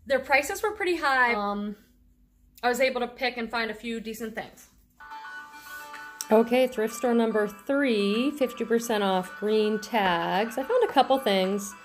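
A woman's voice: a little high, unhurried, soft background music. A middle-aged woman with a faint Boston accent, chatting enthusiastically through a thrift haul as a reselling vlogger. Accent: Boston, on a handful of words. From Boston area, USA. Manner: chatty, enthusiastic about clothing finds. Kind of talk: casual haul vlog.

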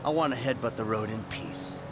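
An actor playing an irate, weary middle-aged American father in a video game, gruff and foul-mouthed. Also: mid-range voice, a little fast, natural voice. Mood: bitter, weary. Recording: loud engine and road noise behind.

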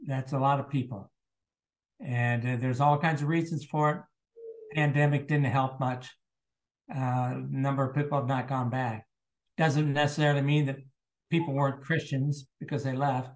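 An older man giving a sermon from a small room into a webcam, speaking haltingly with many fillers and restarts. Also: medium pitch, fairly even intonation, low-quality recording. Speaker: preacher. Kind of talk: sermon over video call. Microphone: webcam at close range.

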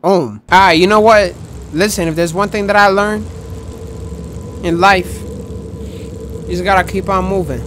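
A young man commentating a horror game in a loud, excitable, trash-talking way, his voice going hoarse, recorded on a headset mic at a home setup. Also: sits high, measured pace, long pauses, a little hiss or hum. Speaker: gaming streamer.

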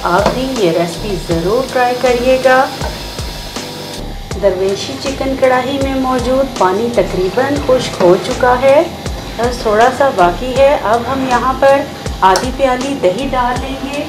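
Slow, high-voiced Pakistani cooking host. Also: female voice, rough recording. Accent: Pakistani Urdu. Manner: patient instructive, enthusiastic about the dish. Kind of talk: step-by-step recipe voice-over. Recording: voice-over with faint background music.

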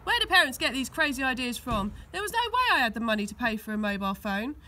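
A woman's voice: on the high side, very animated, quick pace, sharp tone. A woman reading a children's story aloud expressively, recorded outdoors. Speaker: school teaching assistant reading aloud. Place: outdoors on grass.

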